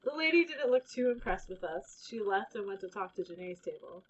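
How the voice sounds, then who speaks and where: A woman with a husky voice reading a children's book aloud as a storybook reader. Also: medium pitch, animated, brisk. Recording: dull, lo-fi recording.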